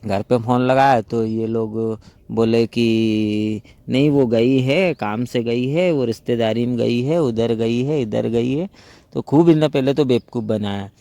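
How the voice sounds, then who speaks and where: A man's voice: mid-range voice, a little slow, warm tone, dry recording, lightly acted. A man playing a chatty, flirtatious unmarried brother-in-law in a staged phone-call role-play, talking in a casual, rambling, gossipy way. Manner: conversational, gossipy, rambling storytelling.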